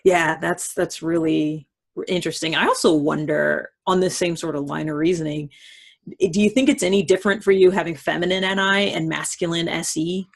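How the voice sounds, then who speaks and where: A woman hosting a casual interview chat, talking in a thoughtful, halting way that tends to trail off. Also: fairly low pitch, steady tone, gravelly. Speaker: host.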